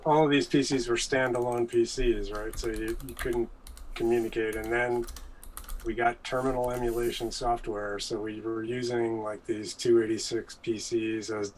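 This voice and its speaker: A man's voice: medium pitch, flat delivery, moderate pace, low-quality recording. Man reminiscing casually, some background noise. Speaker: guest, former computer worker.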